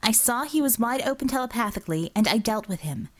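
A woman's voice: on the high side, animated, quick pace. A woman voicing a defiant, sarcastic young female healer in an audiobook, arguing in a flippant, clipped way. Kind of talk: audiobook character dialogue.

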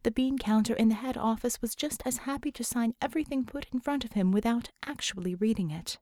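A woman's voice: fairly high pitch, fairly quick, mellow, no echo, studio quality. A woman narrating scripted fiction as an audiobook reader.

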